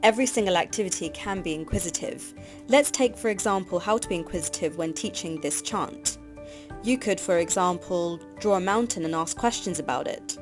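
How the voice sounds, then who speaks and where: A woman giving scripted narration for a teacher training course, reading in an even, measured way. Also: lowish voice. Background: background music.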